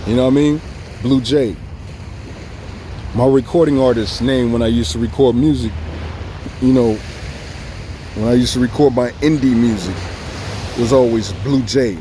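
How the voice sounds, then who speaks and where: A man from Southern California with a Southern Californian accent, filming himself outdoors by the beach and talking casually in a relaxed, rambling way that circles back on itself. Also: medium pitch, a little slow, long pauses, a little hiss or hum. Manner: relaxed, rambling, repeats himself, chuckles often. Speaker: vlogger. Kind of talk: casual tribute vlog. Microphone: phone or camera filming himself.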